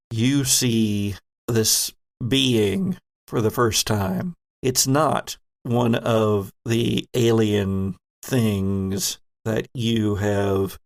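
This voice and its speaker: Man, game master, slow pace, creaky voice, measured storytelling. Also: fairly low pitch. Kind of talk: narrating a tabletop role-playing game.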